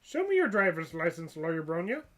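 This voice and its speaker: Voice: mid-range voice, sing-song, fairly quick, a bit bright. A stuffed-up channel host reading the part of a pushy young female detective in a game story, pressing a suspect with accusing questions. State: stuffed-up nose.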